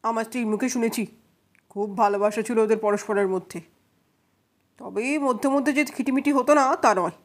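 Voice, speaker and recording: A woman's voice: a little high, brisk. A woman voicing a cautious male neighbour testifying in a courtroom audio drama, subdued and hesitant, hurrying through explanations. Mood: hurried, explaining.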